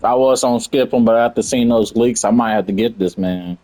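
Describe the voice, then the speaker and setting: A man's voice: mid-range voice, brisk, dark tone. A young man giving casual, blunt, slangy commentary over a game playthrough. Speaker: gaming streamer.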